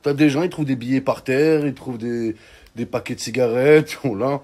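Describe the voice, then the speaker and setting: A man's voice: medium pitch, fairly even intonation, moderate pace, a little mellow. A man telling comic stories in casual street slang, in an exclamatory, mock-indignant way. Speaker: online comedian. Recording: clearly noisy background.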